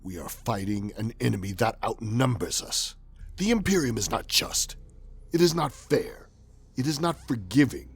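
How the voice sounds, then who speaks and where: An actor playing a menacing, fanatical chaos warrior with a volatile temper, theatrical and intense, in a sci-fi audio drama. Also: male voice, medium pitch, animated, normal speed, vocal fry, husky. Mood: enraged.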